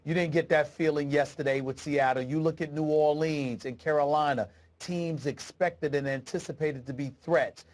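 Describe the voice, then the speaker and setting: A man on a sports debate show, sniffling with congestion, laying out a point with deliberate, formal phrasing. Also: fairly high pitch, fairly even intonation, darkish tone, slight background noise.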